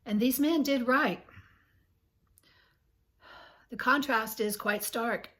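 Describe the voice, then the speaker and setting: A woman in her sixties hosts her own channel, her voice scratchy and a little worn. She reads her reflections slowly and earnestly in a soft tone, pausing now and then to clear her throat. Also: a little high. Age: 60s. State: scratchy voice from illness. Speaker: channel host. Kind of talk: reflective reading to camera.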